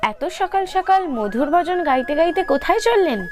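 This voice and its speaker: A woman's voice: extremely high pitch, animated, moderate pace, music in the background. A woman voicing a reverent, curious devotee in a devotional cartoon, asking polite questions.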